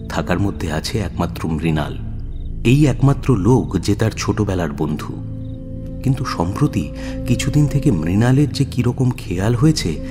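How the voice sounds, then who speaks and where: A man narrating a literary story in a dramatic audio-book style. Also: fairly low pitch. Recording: long reverb, music behind. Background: music.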